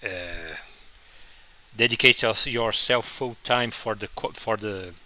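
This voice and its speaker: A man whose first language is Portuguese, chatting casually on a live stream while he draws, halting and restarting often. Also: fairly low pitch, sharp tone. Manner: halting, many restarts and fillers. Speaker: comic artist streaming his drawing.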